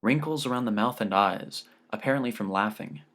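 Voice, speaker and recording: A man's voice: on the low side, brisk, a bit bright. A man narrating scripted literary fiction as an audiobook reader.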